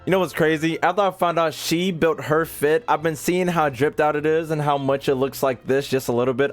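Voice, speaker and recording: A young male streamer reacting casually to a game. His talk is slangy, exclamatory and playful. There is music behind him. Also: medium pitch. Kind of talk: casual live-stream commentary. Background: game audio and music.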